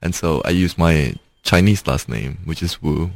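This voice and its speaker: A man's voice: rumbling low, normal speed, vocal fry, no room sound. A young man with a mixed Singaporean and Indonesian accent, talking as an interview guest, hesitant with many fillers and restarts. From Southeast Asia. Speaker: guest, actor and filmmaker. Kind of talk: casual interview conversation.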